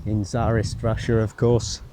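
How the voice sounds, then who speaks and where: A male travel vlogger speaks slowly and in a mellow tone, musing as he goes. His commentary is casual and wryly self-deprecating, recorded outdoors with light street and vehicle noise behind him. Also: on the low side, little pitch movement. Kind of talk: casual walking travel commentary, thinking aloud. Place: outdoors, walking by a road and canal. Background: street ambience, vehicles.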